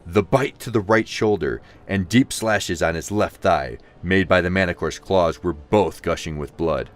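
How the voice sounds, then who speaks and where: A man's voice: low voice, unhurried. A man narrating a scripted fantasy audio drama in a dramatic storytelling style.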